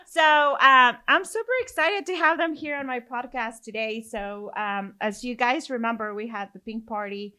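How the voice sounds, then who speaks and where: A woman's voice: fairly high pitch, normal speed, few pauses, pushed and bright, clean tone. A woman from Mexico with a Mexican Spanish accent, hosting a podcast and telling stories in casual chat, full of fillers. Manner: chatty, storytelling with many fillers. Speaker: podcast host.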